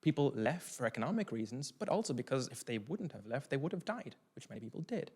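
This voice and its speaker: A man's voice: mid-range voice, sing-song, fast talker, darkish tone, husky. Young irish-raised guy at a conference, stops and restarts a lot, talks into a desk mic. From Ireland. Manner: thoughtful, restarts often, talks with his hands. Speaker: keynote speaker. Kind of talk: conference talk answering a question. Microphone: seated at a desk mic on a stand.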